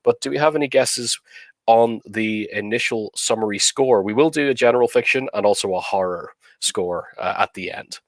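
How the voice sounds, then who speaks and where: Low-voiced man with a cold. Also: moderate pace, dry recording. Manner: relaxed, chatty, many fillers. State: has a cold. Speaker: livestream host. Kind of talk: casual live-stream chat.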